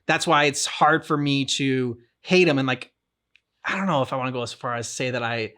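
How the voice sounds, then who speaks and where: A man's voice: mid-range voice, normal speed, bright, forceful tone. A man co-hosting a game reaction show, chatting casually, rambling and often repeating and restarting his phrases. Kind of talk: casual discussion of a game's story.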